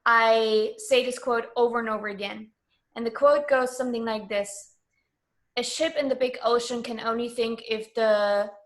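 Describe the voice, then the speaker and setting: High-voiced woman, earnest interview guest. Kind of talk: conversational interview.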